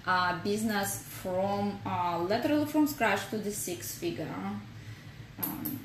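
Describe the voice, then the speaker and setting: A woman in her thirties with a strong Russian accent, a business coach talking casually on a live stream, greeting viewers and using many fillers. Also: medium pitch. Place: home office, indoors. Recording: echoey room with faint noise.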